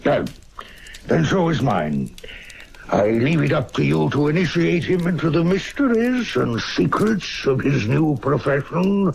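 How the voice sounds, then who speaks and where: An actor playing a genial, sly older doctor mentoring a student, hearty and pompous, in an old-time radio drama. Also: male voice, fairly high pitch, very animated, gravelly, background noise, full character voice. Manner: hearty, pompous, chuckling between deliberate phrases.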